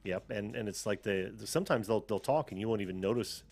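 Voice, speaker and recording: A man's voice: fairly low pitch, quick pace, vocal fry, a little mellow, soft background music. An American man hosting a call-in show over a video call, chatting casually, often laughing, trailing off and restarting.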